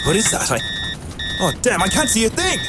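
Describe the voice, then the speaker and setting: A man's voice: high voice, wildly swooping pitch, brisk, heavy background noise. A man playing a flustered, easily panicked airline co-pilot in an audio drama. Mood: alarmed.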